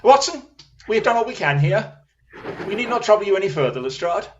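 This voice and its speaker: A man acting a brilliant, brisk detective in a radio play, sardonic and intense, on slightly dull audio. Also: sits high, sing-song, a little hiss or hum. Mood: brisk, decisive.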